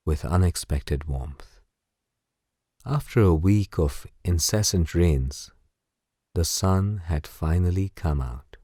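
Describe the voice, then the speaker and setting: A man narrating a bedtime story as guided sleep meditation in a soothing, gentle, unhurried way. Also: low voice, no room sound, clean studio sound.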